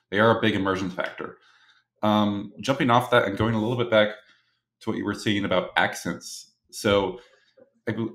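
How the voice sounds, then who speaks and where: A man's voice: low voice, fairly bright tone, roomy sound. A man hosting an interview, asking questions in a conversational way. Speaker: interviewer, host.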